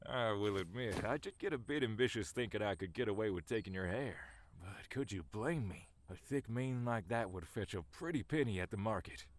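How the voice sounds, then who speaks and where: An actor playing a sly, folksy old thief in an audio drama, with a drawl. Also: male voice, on the low side, animated, fairly quick, few pauses, natural voice. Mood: cajoling. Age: older.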